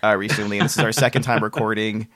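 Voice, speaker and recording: A man's voice: lowish voice, very fast, fairly bright tone. A man co-hosting a podcast in casual interview chat, joking and repeating words as he goes. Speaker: podcast co-host.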